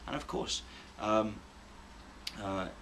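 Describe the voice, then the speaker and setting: Low gravelly man, halting and thoughtful. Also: fairly bright tone. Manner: thoughtful, hesitant with many ums and restarts. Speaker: Welsh language tutor. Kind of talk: radio interview answers. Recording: noisy background hiss.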